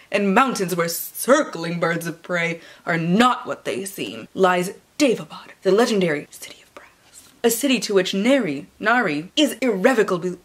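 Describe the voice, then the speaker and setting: A young adult woman vlogging about books in a casual, playful way, often interrupting herself and swearing freely. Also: mid-range voice. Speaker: book vlogger. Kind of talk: casual vlog chat with dramatic blurb readings. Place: indoor room with bookshelves.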